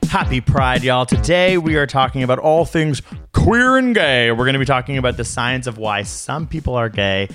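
A man's voice: medium pitch, moderate pace, faint music behind. Tired, joking podcast guy. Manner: animated, laughing, joking banter. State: tired, fried from partying. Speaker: podcast co-host. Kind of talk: casual chat.

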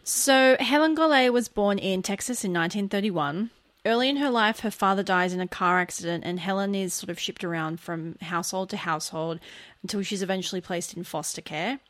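A woman's voice: medium pitch, brisk, no echo. A woman co-hosting a true-crime podcast, blunt and teasing, telling the story of a case. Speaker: podcast co-host.

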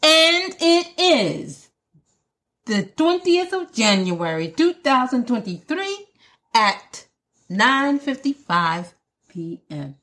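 Lively, laughing woman podcast host. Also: sits high, slow pace. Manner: playful, laughs often, says "listen" and "y'all" a lot. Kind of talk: casual solo podcast chat.

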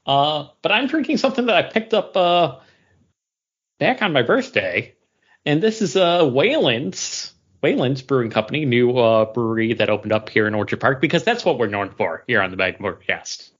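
A man's voice: medium pitch, sing-song, moderate pace, slightly rough, dull audio. An American man chatting casually as a podcast host.

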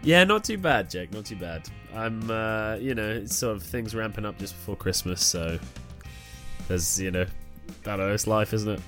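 Man, slightly low voice, music behind. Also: expressive, normal speed, a bit bright. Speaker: podcast co-host. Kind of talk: casual podcast chat.

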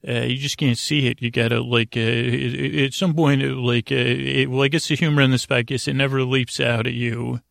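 A man hosting a sleep podcast in a low-key, meandering monologue, halting often and drifting off into digressions. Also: flat delivery, creaky, fairly bright tone, dry recording, studio quality. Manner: meandering, halting, frequently digressing and losing his thread; low-key, soothing, deliberately unengaging. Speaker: podcast host.

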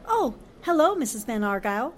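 A woman's voice: on the high side, sing-song, brisk, a loud crowd, very noisy. A woman playing a sensible, polite newspaperwoman with a composed, dry manner in a 1940s-style radio drama.

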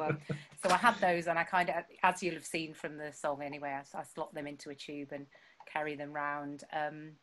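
Chatty woman, low voice. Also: a bit bright, a little hiss or hum. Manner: chatty, self-deprecating, many fillers. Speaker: songwriter guest. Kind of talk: casual chat. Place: home.

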